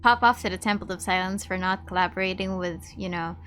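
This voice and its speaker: A woman's voice: mid-range voice, steady tone, fairly quick, a bit bright. A woman giving casual, playful commentary as she plays a video game, reacting in slangy remarks. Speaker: game streamer. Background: game music.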